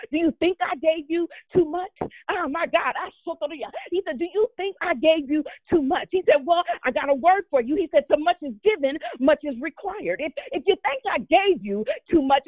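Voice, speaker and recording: High-pitched woman preacher on thin phone-like audio. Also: no echo. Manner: exhortatory, repeats words and phrases for emphasis; punctuates speech with devotional exclamations.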